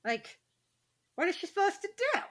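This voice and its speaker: A woman's voice: very high voice, very animated, moderate pace, a bit bright. A woman co-hosting a podcast in casual, joking chat, with dry, irreverent banter. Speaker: podcast co-host.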